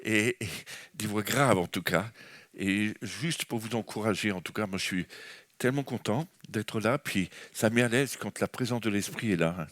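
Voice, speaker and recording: A man with a French accent gives a spontaneous charismatic sermon, enthusiastic and meandering, often restarting his sentences. His voice sits somewhat low. The sound is close and dry, with little room. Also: normal speed, a bit bright, dry recording. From France. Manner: enthusiastic, rambling, with frequent restarts and fillers. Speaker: guest preacher. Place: church.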